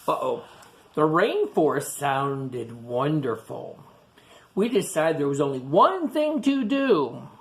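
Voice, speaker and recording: A woman reading a picture book aloud to children, chatting to them in animated asides and questions. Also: low voice. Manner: animated asides to young listeners, asking questions. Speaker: storytime reader.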